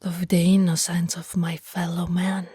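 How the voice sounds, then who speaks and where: An immortal vampire woman acted out by a female performer confides in slow, breathy whispers, tender and full of sorrow. Her voice sits fairly low, with archaic, formal phrasing. The sound is close and dry, in the manner of ASMR roleplay. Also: little pitch movement, warm tone, no room sound. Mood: anguished.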